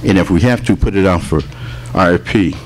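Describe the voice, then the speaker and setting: A man chairing a village board meeting as mayor, calling for motions in a brisk, procedural way. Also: low voice, slight background noise.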